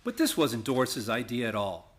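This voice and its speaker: An actor playing an earnest, loyal young lawyer in a radio play, speaking emphatically. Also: male voice, medium pitch, big pitch swings, normal speed. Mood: concerned, pleading. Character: earnest, loyal young male lawyer, quick-witted idealist. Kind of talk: stage-style radio play acting.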